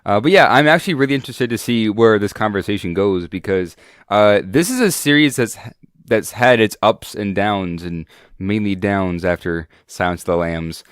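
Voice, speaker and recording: A man's voice: on the low side, moderate pace, clean tone, dry recording, studio quality. A man co-hosting a podcast in casual chat while sick with a cold, speaking loosely with many fillers. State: sick with a cold, voice deeper than usual. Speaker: podcast co-host.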